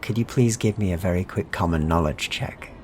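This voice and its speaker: A man's voice: sits low, fairly quick, darkish tone, slight background noise. A man narrating a tabletop fantasy game as game master, in a descriptive, evocative storytelling manner.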